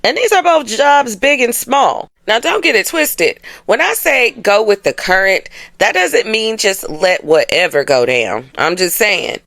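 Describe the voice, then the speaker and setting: An American woman speaks as an online host, explaining things casually at a medium pitch. Her delivery is colloquial and emphatic, checking in with listeners as she goes. The sound is close and dry, with very little room in it. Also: very animated, a little slow, gravelly, few pauses, fairly bright tone, no echo. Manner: conversational, colloquial, emphatic, with frequent check-in tags. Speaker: astrology host. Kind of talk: casual explanatory monologue to viewers.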